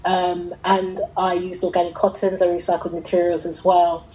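A middle-aged woman telling her own story in an interview, talking conversationally in a reflective, halting way and often rephrasing. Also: on the low side, monotone, dark tone, poor audio. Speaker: interviewee, fashion entrepreneur.

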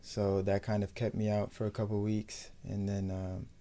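A young man from the United States, a professional footballer, talking casually and matter-of-factly in a sports interview, in a measured way. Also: fairly low pitch, monotone, mellow. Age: young adult.

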